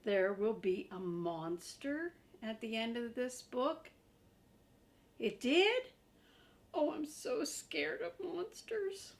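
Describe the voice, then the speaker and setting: A woman's voice: on the high side, lively intonation, slow pace, creaky, exaggerated voice. A woman voicing a lovable, frightened furry monster from a storybook, pleading emphatically and theatrically. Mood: scared, pleading.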